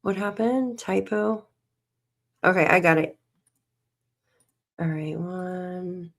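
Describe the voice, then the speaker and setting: A woman's voice: fairly low pitch, slow, vocal fry. A tired woman hosting a casual livestream, reading documents aloud and muttering to herself as she searches, coughing and losing her voice. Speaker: livestream host.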